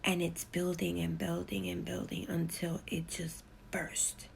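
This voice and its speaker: Middle-aged woman, whispery testimony, low pitch, rambling. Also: little pitch movement, unhurried, vocal fry, slight background noise. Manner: whispery, hushed delivery; rambling, repeats herself. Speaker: storyteller. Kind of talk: personal spiritual testimony.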